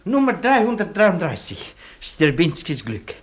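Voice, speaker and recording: A loyal, simple servant in a radio drama. He speaks deferentially, with lively rises and falls. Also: sits high, unhurried. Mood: dutiful. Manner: deferential, matter-of-fact. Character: devoted, simple Polish manservant. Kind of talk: radio play acting.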